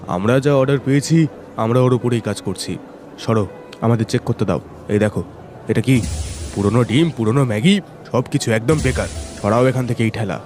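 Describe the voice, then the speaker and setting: A man's voice: on the low side, darkish tone, natural voice. A man voicing a stern, bullying food inspector in a moral-story cartoon, officious and commanding.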